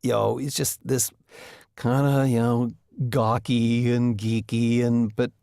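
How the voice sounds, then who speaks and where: A man with a creaky voice in a casual interview, thinking aloud in halting phrases. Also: medium pitch, level tone, warm tone, dry recording, clean studio sound. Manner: halting, thinking aloud with repeated "you know". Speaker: director and voice actor being interviewed.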